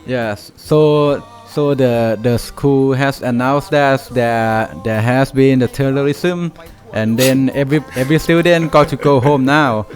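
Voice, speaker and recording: A man's voice: mid-range voice, a little slow, soft background music. A man with a Thai accent telling a story casually, hesitant and full of restarts. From Thailand. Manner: hesitant, many fillers and restarts.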